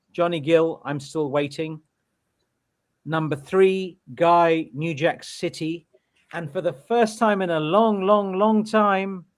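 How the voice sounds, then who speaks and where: A man co-hosts a podcast with a voice a little higher than most men's. He mocks himself with a laugh, then reads out a ranked list steadily. The chat is casual. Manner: joking and self-mocking, steady list reading. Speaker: podcast co-host.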